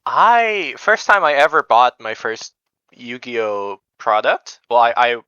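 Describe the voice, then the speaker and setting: A young man from Indonesia chatting casually as an interview guest, hesitant and modest, with a clear, smooth voice. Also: mid-range voice, slow, pushed and bright, no room sound, muffled recording. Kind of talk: casual chat.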